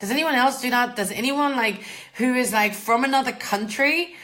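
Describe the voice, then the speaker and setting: A woman's voice: a little high, moderate pace, a bit bright. A German-born woman, a native German speaker with a mixed English and Australian accent, chatting informally and a little sweary as she hosts a casual livestream and greets viewers. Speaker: host, mindset coach.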